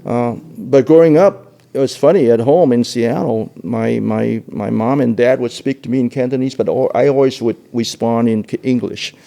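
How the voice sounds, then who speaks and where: An older man from Hong Kong giving an oral history interview, speaking haltingly with frequent repeated words and laughs. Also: mid-range voice, creaky, dark tone.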